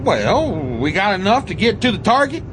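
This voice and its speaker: Gung-ho Southern US cowboy bomber pilot, high lively drawl over loud engine rumble. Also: male voice, normal speed. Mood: folksy, gung-ho. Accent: American South. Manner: drawling, folksy, whooping. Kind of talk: radio comedy drama acting.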